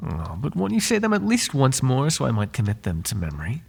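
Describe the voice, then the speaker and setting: Man acting as a husky, devoted flirtatious butler. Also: medium pitch, huge pitch swings, brisk, heavily acted. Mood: adoring, lovestruck. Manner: formal, courtly wording, teasing and tender. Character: devoted, lovesick, flirtatious male butler to his master. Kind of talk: intimate ASMR roleplay acting.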